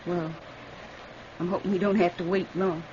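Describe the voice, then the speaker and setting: An actress playing a sharp, nervous, scheming rural American woman in an old-time radio drama. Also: lowish voice, little pitch movement, normal speed, natural voice. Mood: anxious, scheming. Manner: edgy, folksy country speech. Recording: muffled vintage radio recording, faint hiss.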